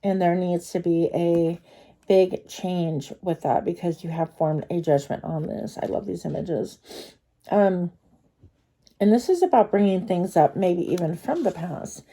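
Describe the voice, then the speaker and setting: Low warm-voiced woman w a cold, all stuffed up, casually chatting thru a card reading. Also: measured pace. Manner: casual, chatty, frequent fillers and restarts. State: congested with a cold. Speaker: tarot card reader. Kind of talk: pick-a-card tarot reading to viewers.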